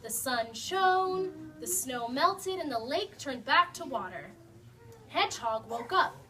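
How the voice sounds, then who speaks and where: A woman narrating a children's puppet show, animated and speaking to a young audience. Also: high-pitched, takes it slowly. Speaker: storyteller. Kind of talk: children's story reading. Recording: distant mic, faint noise.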